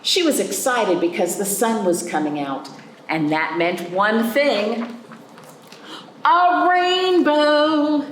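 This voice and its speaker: A woman hosting a children's storytime, reading a picture book aloud expressively with dramatic pauses and stretched words. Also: a little high, animated. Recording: roomy echo, faint background noise.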